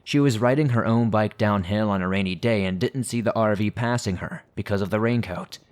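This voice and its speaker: A man hosting a horror podcast, reading listener stories aloud in a steady, measured way. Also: lowish voice. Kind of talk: scripted horror story narration.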